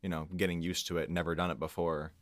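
A man with a very deep voice talks casually as an interview guest. The sound is very dry, and he explains things in an informal, loose way. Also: level tone, fast, fairly bright tone, dry recording. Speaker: guest, audio engineer and composer. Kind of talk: casual behind-the-scenes interview chat.

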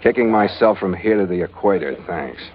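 An actor playing a hardboiled, wisecracking American adventurer, narrating in terse, sardonic 1940s radio detective style. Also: male voice, low-pitched, animated, a little fast. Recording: muffled old radio recording with music underneath.

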